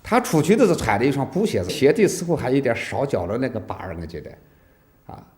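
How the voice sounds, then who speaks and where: A man's voice: mid-range voice, very animated, fast, a little mellow, a little hiss or hum. A man giving an unscripted recollection as an interviewee.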